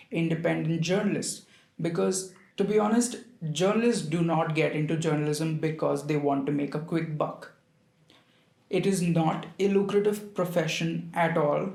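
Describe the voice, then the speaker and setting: A man's voice: a little high, gravelly, darkish tone. A man with an Indian accent giving an earnest, informal opinion monologue straight to his viewers. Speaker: video creator, commentator. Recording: roomy, echoing sound.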